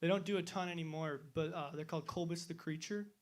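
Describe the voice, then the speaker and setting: Slightly high-voiced teenage musician. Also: male voice, steady tone, quick pace. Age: teens. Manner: chatty, self-deprecating storyteller. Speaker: band member guest. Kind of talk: casual interview answers.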